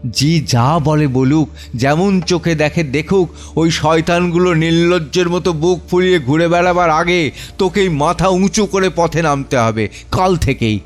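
A man playing an anguished, protective middle-aged father in an audio drama monologue, with halting, emotive delivery full of pleas and pauses. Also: a little high, lightly acted. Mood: firm, pleading. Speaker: voice actor and reciter. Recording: music under the voice. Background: background music.

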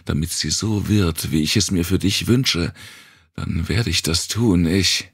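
A man doing a fiercely protective young woman, raised in pitch from a very deep, rough voice. She pleads in an urgent hush, torn and desperate, in a dry audiobook reading. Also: slow, no echo, full character voice. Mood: pleading.

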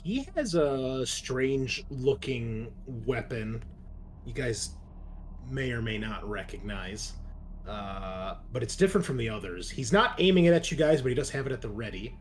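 A man's voice: mid-range voice, lively intonation, measured pace. A man improvising narration as game master of a tabletop game in a conversational way. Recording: faint background noise.

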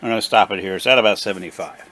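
A man giving a casual, unscripted hands-on repair demonstration in a plain, halting, explanatory way at a workshop bench. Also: deep voice, pushed and bright. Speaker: presenter of a radio repair video. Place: small indoor workshop at a workbench.

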